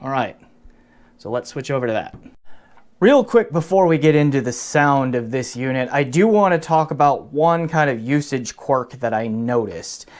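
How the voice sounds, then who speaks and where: A man's voice: mid-range voice, animated, moderate pace. A man giving a casual, unscripted gear review, explaining things conversationally and often breaking off his own sentences. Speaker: product reviewer.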